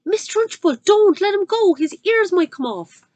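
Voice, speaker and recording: A woman voicing a kind young teacher who begs in a high, anxious voice. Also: animated, normal speed, fairly bright tone, no room sound. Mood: pleading.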